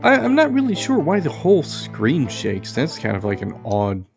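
A man's voice: on the high side, huge pitch swings, normal speed, gravelly. A man giving casual, understated let's play commentary, musing aloud as he plays. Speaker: gaming video host. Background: game music behind the voice.

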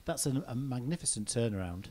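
A man's voice: medium pitch, expressive, a little fast, dark tone. A man working as a club TV interviewer, recapping the game and asking a player questions, with hesitant fillers. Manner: summarising play, hesitant fillers. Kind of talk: post-match interview questions.

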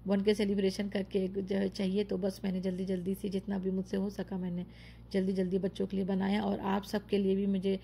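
Creaky, flat-voiced woman narrating a recipe. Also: medium pitch, fairly quick, a little hiss or hum. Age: adult, mother of children. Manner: chatty, repeats warm praise of the dish; addresses viewers directly with step-by-step tips. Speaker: home cook and channel host. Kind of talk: cooking tutorial voice-over.

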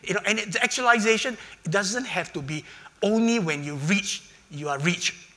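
A man's voice: sits high, normal speed, sharp tone. A man speaking on a conference panel, enthusiastic and full of fillers like "you know" and "actually". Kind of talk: panel discussion.

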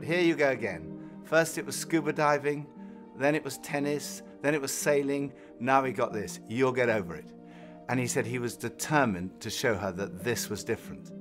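A man gives an earnest Bible teaching talk, telling stories and acting out quoted voices. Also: medium pitch. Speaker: course presenter. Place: home-like room indoors.

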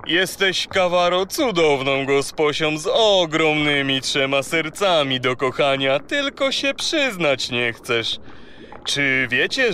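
A man's voice: fairly high pitch, sharp tone, a little hiss or hum, full character voice. A male actor playing a proud, imperious yet gracious sea king in a children's audio play, with a theatrical, courtly manner.

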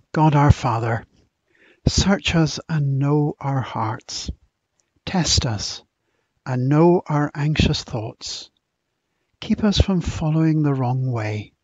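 A man, a church minister, reading a welcome, scripture and prayers in a solemn, measured, deliberate manner. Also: on the high side, a little mellow, no echo, dull audio. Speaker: church minister leading an online service.

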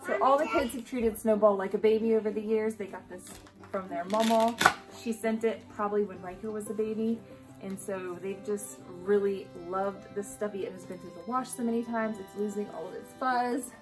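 A woman's voice: medium pitch, moderate pace. A woman in her thirties chatting informally and explaining things to camera as the host of a family homeschooling vlog, recorded indoors at home. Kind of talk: casual family vlog chat, showing items to camera. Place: home room with bookshelf, indoors. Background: music.

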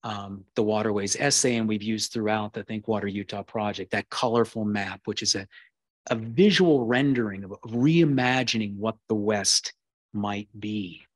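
A man giving a keynote history lecture, reading in a measured way with occasional restarts. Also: on the low side, breathy edge, dry recording. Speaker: keynote speaker.

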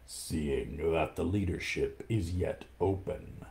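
A man voicing a bold, defiant boy raised by wolves, speaking loud and high. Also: slow, creaky, exaggerated voice.

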